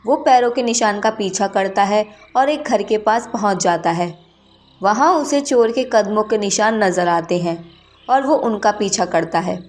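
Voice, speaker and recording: A woman's voice: mid-range voice, unhurried, faint music behind. A woman reading scripted narration for a children's cartoon story.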